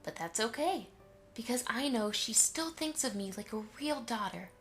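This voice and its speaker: Woman as a gentle, motherly pony, fairly high. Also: expressive, normal speed, soft background music. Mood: reassuring. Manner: soft, reassuring, fond. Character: gentle motherly grown mare, a reassuring grandmother. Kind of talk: fan audio drama acting.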